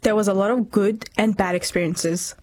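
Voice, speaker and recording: A woman's voice: mid-range voice, fairly even intonation, moderate pace, darkish tone. A woman in her twenties from Myanmar, speaking earnestly and reflectively as an interview guest. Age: 20s. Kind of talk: personal testimony in interview.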